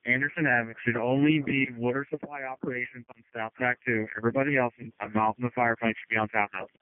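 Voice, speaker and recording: A man's voice: mid-range voice, fairly even intonation, quick pace, no echo. A man speaking as a fire incident commander in terse emergency radio traffic, over a two-way radio. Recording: tinny narrowband fire radio transmission.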